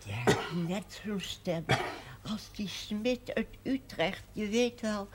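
Actress as ailing woman, tender, frail, very slow, slightly noisy distant TV drama recording. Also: mid-range voice, lightly acted. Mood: wistful, faint. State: weak, in pain. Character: weak, ailing woman in bed, tender and wistful. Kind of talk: television period drama acting.

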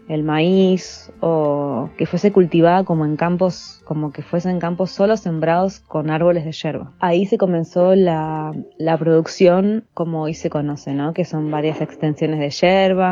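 A woman presenter calmly explaining how something is made, thinking aloud and trailing off into lists. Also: lowish voice. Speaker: program presenter. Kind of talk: explanatory chat about yerba mate. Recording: dull lo-fi sound with faint music.

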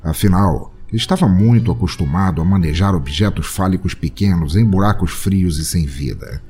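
A man with a Brazilian accent narrating a scripted comic audio drama with deadpan, exaggerated storytelling. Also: deep voice, normal speed, breathy edge. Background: music bed.

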